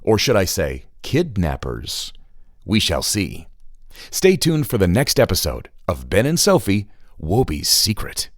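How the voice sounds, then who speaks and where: A man's voice: sits low, wildly swooping pitch, unhurried. A man narrating scripted stories and credits for children as a show's narrator.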